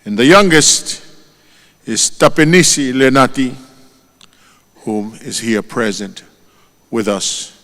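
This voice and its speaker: A man with a Tongan accent, whose first language is Tongan, delivering a formal funeral eulogy in a solemn, measured way and lifting his voice on each name. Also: medium pitch, expressive, fairly bright tone. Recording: long hall reverb.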